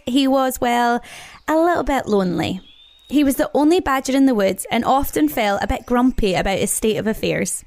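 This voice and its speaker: A woman narrating a children's story expressively, with wry, playful asides and dramatic pauses. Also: high-pitched.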